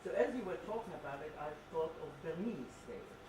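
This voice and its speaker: A far-off, echoing recording of an older woman speaking from the audience. She explains her thoughts on art in a halting, discursive, unscripted way. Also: deep voice, expressive. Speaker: audience member commenting on art. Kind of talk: unscripted academic comment. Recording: echoey, noisy hall, far from microphone.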